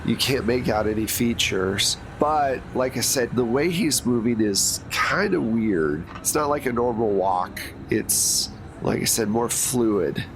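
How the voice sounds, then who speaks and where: A man narrating as game master of a tabletop game, describing scenes in a relaxed way with frequent fillers. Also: medium pitch, measured pace, few pauses. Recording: noisy background.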